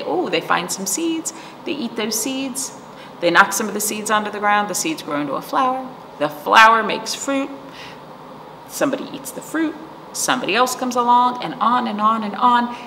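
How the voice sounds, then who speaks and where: A woman's voice: medium pitch, gravelly, pushed and bright. A middle-aged woman leading storytime for young children, explaining simply and checking in with questions. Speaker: children's librarian hosting virtual storytime. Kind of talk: storytime for young children, rhymes and read-aloud. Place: small indoor library room, seated. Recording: echoey room with background noise.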